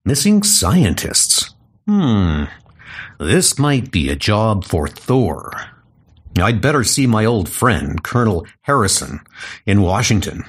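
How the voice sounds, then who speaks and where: A man's voice: fairly low pitch, big pitch swings, slow pace, husky, exaggerated voice. A man playing a frail, lame doctor in a comic audio drama, earnest and self-doubting. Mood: pensive.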